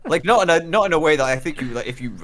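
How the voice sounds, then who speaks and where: A man's voice: on the high side, animated, rapid-fire, fairly bright tone. A man working as a voice actor, chatting casually between takes in a joking, self-deprecating manner. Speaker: voice actor in a recording session.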